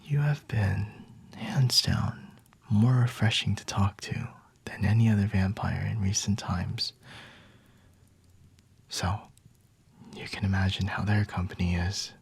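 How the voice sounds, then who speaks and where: A man playing a flirty, aloof, high-ranking vampire in an ASMR roleplay, speaking in a breathy, hushed whisper close to the mic with haughty, seductive pauses. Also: steady tone, heavily acted. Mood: aloof, smug. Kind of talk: ASMR roleplay, scripted monologue to the listener. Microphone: close-up microphone.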